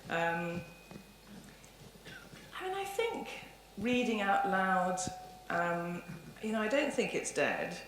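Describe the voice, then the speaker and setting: Woman lecturer doing q&a, thinks out loud and hedges, roomy echo, bit of background noise. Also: mid-range voice, big pitch swings, slow. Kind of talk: audience question-and-answer after a lecture. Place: indoor lecture hall.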